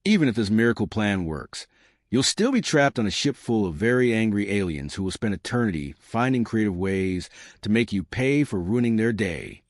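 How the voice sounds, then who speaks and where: Skeptical male spaceship crewmate, done by a narrator. Grim, blunt, expressive pitch, dry recording. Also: normal speed, no room sound.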